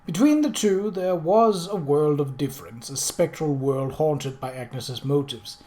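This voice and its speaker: A man's voice: on the high side, lively intonation, measured pace, mellow. Man giving a dramatic audiobook reading. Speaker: audiobook reader. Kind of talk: scripted narration of a novel.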